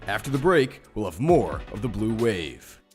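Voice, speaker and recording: A man's voice: on the low side, big pitch swings, normal speed. A man reading a scripted radio promo for a football broadcast as the station announcer. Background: music.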